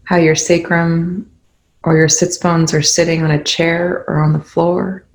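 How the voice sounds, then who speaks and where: A meditation guide leading a guided meditation in gentle, instructional phrases with pauses between them. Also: mid-range voice, level tone.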